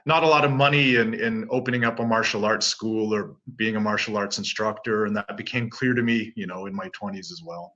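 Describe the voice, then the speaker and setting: A man in his forties, from Canada, speaks as a relaxed, self-assured interview guest. His voice is bright and forceful, heard through a dull, lo-fi recording. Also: fairly low pitch. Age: 40s. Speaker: guest, martial arts teacher. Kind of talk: casual interview.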